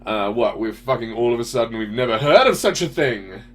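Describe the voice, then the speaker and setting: A man's voice: mid-range voice, animated, brisk. A man speaking as host of a casual, opinionated question-and-answer show, in a blunt, informal way. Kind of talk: casual opinionated commentary, answering audience messages.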